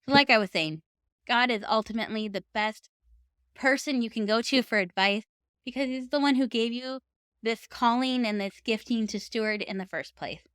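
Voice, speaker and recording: A woman's voice: on the high side, normal speed, a bit bright, smooth, no echo, clean studio sound. A woman speaking as a podcast host, giving advice in an earnest, conversational and encouraging way. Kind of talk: encouraging advice talk to camera for writers.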